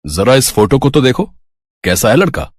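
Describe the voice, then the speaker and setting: Guy doing a jokey easygoing cartoon dad, lively voice, dry sound. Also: mid-range voice, moderate pace, no room sound. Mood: teasing. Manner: teasing, easygoing. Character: good-humored middle-aged father and husband.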